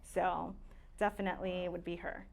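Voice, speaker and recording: A woman's voice: on the low side, flat delivery, normal speed, gravelly, a little hiss or hum. A woman in her late twenties from Tanzania, a native Swahili speaker, chatting casually as a podcast guest with plenty of fillers and easy laughs. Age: late 20s. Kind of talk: casual interview chat.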